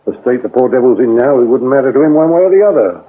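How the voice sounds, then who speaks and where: An actor playing a shrewd, scornful British police inspector interrogating in a radio drama, sardonic and accusing. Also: male voice, medium pitch, expressive, fast, husky. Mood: scornful, stern. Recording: lo-fi, narrow-band radio drama recording.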